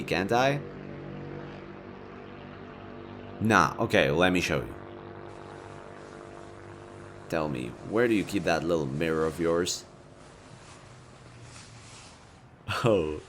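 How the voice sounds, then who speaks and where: A man performs a cocky male villain with a deep voice, made for an intimate audio roleplay. He speaks directly to the listener in a loose, conversational way. His manner is teasing and smug, with real care and earnestness underneath. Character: smug, teasing yet caring male supervillain, city-wide rival.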